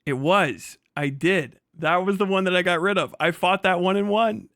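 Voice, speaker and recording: A man's voice: high voice, moderate pace, a bit bright, no room sound, clean studio sound. A man livestreaming casual reaction commentary, swearing freely, mocking and often laughing as he talks. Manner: profane, mocking; laughs often while talking.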